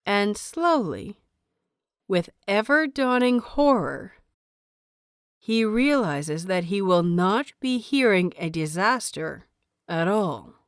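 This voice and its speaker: A woman reading a story as scripted dramatic narration, measured and deliberate, with dramatic pauses. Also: mid-range voice, expressive, clean tone, dry recording, studio quality. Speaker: audio fiction narrator.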